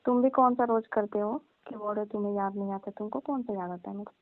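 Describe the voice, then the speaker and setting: A woman's voice: medium pitch, fairly even intonation, fast talker, close to an everyday voice. A young woman playing a coy, teasing female cousin in a casual, colloquial phone chat. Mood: gently defensive. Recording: thin narrow-band phone line.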